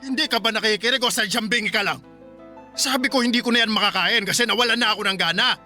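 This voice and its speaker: An actor playing a short-tempered, insulting Filipino father in a radio drama, loud, scornful and argumentative. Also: high voice, fast talker, soft background music. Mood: angry, scornful.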